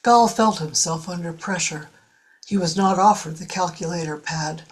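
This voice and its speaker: A woman's voice: lowish voice, moderate pace, breathy edge. A woman reading scripted narration for a radio drama as its narrator.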